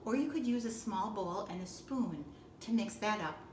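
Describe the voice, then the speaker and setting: A woman giving step-by-step instructional narration in a deliberate way, with simple explanatory phrasing. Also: medium pitch, animated. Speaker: librarian giving a baking demonstration. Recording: distant roomy echo with background noise.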